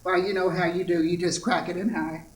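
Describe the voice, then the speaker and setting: A performer playing a folksy old grandma who rambles haltingly through a homespun cooking demonstration in a stage variety sketch. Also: mid-range voice, level tone, recorded from a distance, lightly acted. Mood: cheerfully instructive. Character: folksy old grandma pitching sponsor baking products. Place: live theatre stage. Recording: echoey noisy theatre stage recording.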